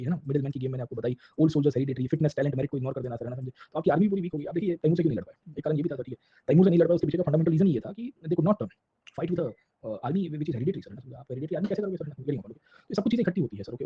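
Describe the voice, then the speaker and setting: Male Indian-accented history teacher, fairly high-pitched, informal exam-prep lecturing with repeated check-ins, slightly muffled lo-fi recording. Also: slightly rough, no room sound. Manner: repetitive check-in tags, addressing listeners as sir; colloquial, illustrating points with little acted anecdotes.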